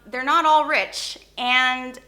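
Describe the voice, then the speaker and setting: A woman hosting a vlog, giving a casual, opinionated rant in an animated, informal way and mixing Indonesian phrases into her English. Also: high voice, takes it slowly. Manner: animated, informal, acts out short imagined exchanges. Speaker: YouTube host.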